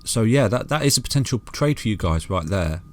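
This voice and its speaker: A man's voice: on the low side, expressive, a little fast. A man with a soothing voice walks through crypto charts in a casual, chatty way, calling listeners "guys" and "mate" and restarting phrases often.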